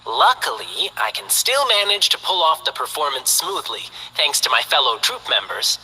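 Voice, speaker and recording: An upbeat young lion dancer. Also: male voice, a little high, big pitch swings, moderate pace, breathy edge, big-room sound, full character voice, low-quality recording. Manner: bright, friendly, enthusiastic. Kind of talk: game character voice lines.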